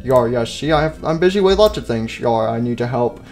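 A man's voice: mid-range voice, animated, brisk, a little mellow, faint music behind, close to an everyday voice. A man voicing a busy, self-assured pirate fox animatronic who talks down in rambling pirate speech for a cartoon skit. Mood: busy, apologetic. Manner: rambling, patronizing pirate talk.

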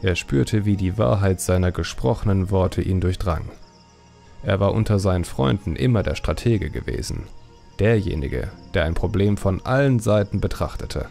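Male narrator, low creaky voice, dramatic storytelling, scripted audiobook. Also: expressive, moderate pace, dark tone, faint music behind.

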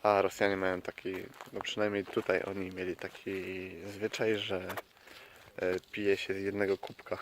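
Male travel vlogger, lowish voice, off-the-cuff chatty delivery, clearly noisy open-air sound. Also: little pitch movement, normal speed. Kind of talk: casual vlog chat, unscripted. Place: outdoors by a lake. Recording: noisy outdoor recording with clicks.

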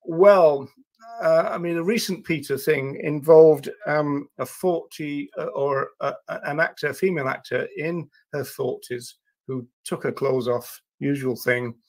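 An older man hosting a casual livestream, talking to viewers in a hesitant, halting way with drawn-out pauses. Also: on the high side, a little mellow. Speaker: livestream host. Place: small indoor room at a desk.

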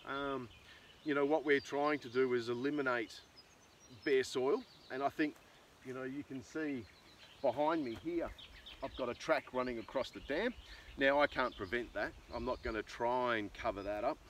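Casting: middle-aged Australian male farmer, mid-pitched, rambling and informal, recorded at some distance outdoors with light natural noise. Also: normal speed, fairly bright tone. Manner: rambling, thinking aloud. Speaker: farmer and video host. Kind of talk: casual unscripted vlog talk. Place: outdoors in an open rural pasture.

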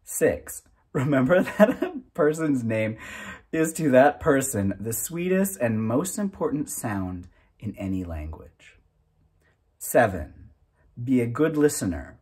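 Husky, lively man reading aloud slowly. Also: medium pitch. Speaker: narrator reading a book aloud. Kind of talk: reading aloud from a self-help booklet.

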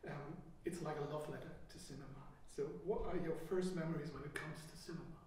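A man's voice: fairly high pitch, steady tone, fast talker, a little mellow. A man interviewing film guests, asking questions from off mic in an indoor room. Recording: echoey, distant, noisy off-mic pickup.

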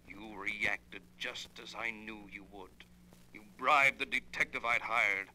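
Menacing, forceful low-voiced avenger. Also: male voice, fairly even intonation, exaggerated voice. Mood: cold, menacing. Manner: deliberate, menacing. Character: vengeful dead man coldly reading out his plan. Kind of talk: 1940s radio acting. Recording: noisy, humming old radio recording.

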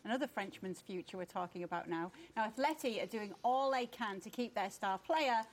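A woman's voice: mid-range voice, fairly quick, a little hiss or hum. A woman in her thirties hosting a football show from a television studio in a polished broadcast style. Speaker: television sports presenter.

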